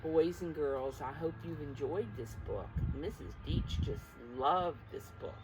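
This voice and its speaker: A woman's voice: sits low, measured pace. A woman teacher reading a picture book aloud to preschoolers, encouraging them with simple explanations and questions, emphasising key words, in a slightly noisy recording. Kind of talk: interactive picture-book read-aloud for young children.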